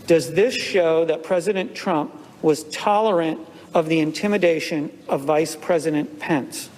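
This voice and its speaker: A man reads aloud in a formal, official style. His voice is on the high side and rings through a large, reverberant chamber. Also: unhurried, a little mellow.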